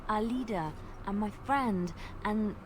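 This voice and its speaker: A gentle, earnest bard acted by a woman speaks with tender, bashful hesitation and a playful edge. It is fantasy game dialogue at a middling feminine pitch, with clear noise behind it. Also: slow, natural voice. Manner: soft-hearted, hesitant, earnest. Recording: clearly noisy game playback.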